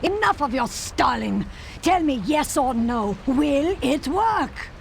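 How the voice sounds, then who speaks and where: Ruthless game villainess, high pitched, bossy and scornful, bit of bg noise. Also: female voice, big pitch swings, slow, vocal fry, heavily acted. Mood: impatient. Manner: commanding, contemptuous. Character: imperious, ruthless female Nazi villain, driven and scornful. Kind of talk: dramatic game cutscene acting.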